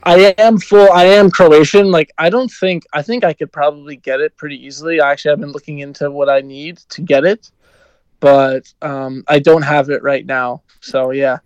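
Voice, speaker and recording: Young American man, hockey player guest, smooth clear voice, even and flat, easygoing with lots of ums, dry close sound. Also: on the high side, moderate pace, dry recording, low-quality recording. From New Jersey, USA. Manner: easygoing, many ums and you-knows. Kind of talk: casual interview answers.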